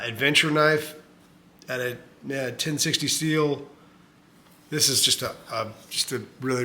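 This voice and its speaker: A man's voice: mid-range voice, bright, forceful tone. A middle-aged man hosting a casual knife review channel, rambling and self-mocking, full of fillers and jokes.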